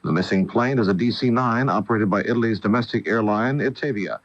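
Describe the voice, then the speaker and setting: A man's voice: lowish voice, fairly quick, no room sound. An American man anchoring a scripted network radio newscast. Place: dry radio studio. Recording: dull old AM radio recording.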